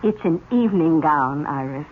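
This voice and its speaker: An actress playing a gentle, credulous older aunt in an old-time radio drama, soothing and earnest. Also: female voice, low voice, animated, normal speed. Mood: hopeful. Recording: tinny noisy old radio recording.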